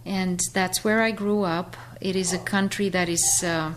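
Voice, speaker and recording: A middle-aged woman with a Bulgarian accent, giving a thoughtful, unhurried radio interview as a guest educator, pausing often with fillers. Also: on the low side, fairly even intonation. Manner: thoughtful, many fillers and pauses.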